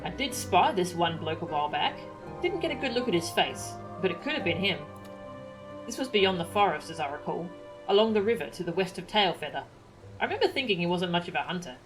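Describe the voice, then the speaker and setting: A woman voicing a gruff male hunter in a fantasy game, talking in a blunt, colloquial way. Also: fairly low pitch, sing-song, brisk, music in the background, rough recording. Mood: blunt, folksy.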